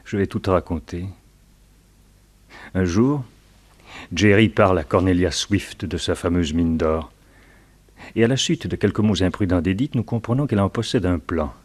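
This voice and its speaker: An actor playing a scheming, taunting crook in a crime radio drama, speaking with deliberate, sardonic emphasis. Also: male voice, deep voice. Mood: sly, taunting. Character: scheming male blackmailer, sly, taunting crook.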